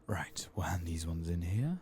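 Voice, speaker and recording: A man giving soft-spoken, whispered ASMR commentary over a game playthrough, murmuring casually as if to himself. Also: sits low, expressive, moderate pace, slight background noise. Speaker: gaming ASMR host.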